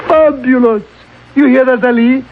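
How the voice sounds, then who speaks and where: An actor playing a genial, exclamatory crown prince of a small mountain country in a 1970s radio drama. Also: male voice, very high voice, steady tone, normal speed, a little hiss or hum. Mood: delighted, breezy. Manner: jovial, exclamatory, grand. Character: genial, six-foot crown prince of a poor Himalayan country. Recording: tinny, band-limited old radio broadcast.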